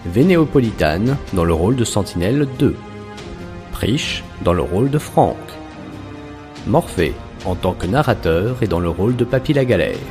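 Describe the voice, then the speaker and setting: A man's voice: lowish voice, very animated, moderate pace. A man reading scripted credits as the announcer of an audio production. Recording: music behind the voice.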